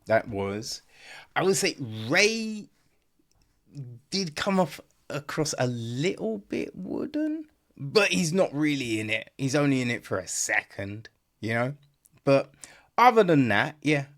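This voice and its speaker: A man hosts a casual film review podcast at a slow, unhurried pace. His mid-pitched voice rambles through unscripted thoughts, doubling back and tagging phrases with little check-ins to the listener. The phrasing is informal and chummy. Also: fairly bright tone. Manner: rambling, repetitive, peppered with "right?" and "you know"; informal, chummy address, mild swearing. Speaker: podcast host reviewing films.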